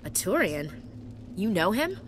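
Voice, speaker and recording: A woman's voice: medium pitch, very animated, unhurried, background noise. An actress playing an earnest, uneasy woman in a tense video game scene. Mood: alert. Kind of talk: video game cutscene acting. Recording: game audio with music underneath.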